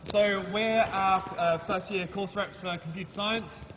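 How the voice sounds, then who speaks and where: A man's voice: high voice, fairly even intonation, a little slow, in a large hall, noisy background. A young man, a student course rep, making a hesitant class announcement with many ums. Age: young adult. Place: lecture hall.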